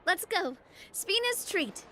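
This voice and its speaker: A chirpy young female game character who likes to take charge. Her voice is very high and bright, bubbly and exclamatory. There is faint noise behind it. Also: big pitch swings, takes it slowly, exaggerated voice. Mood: upbeat, rallying. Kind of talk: animated video game voice acting.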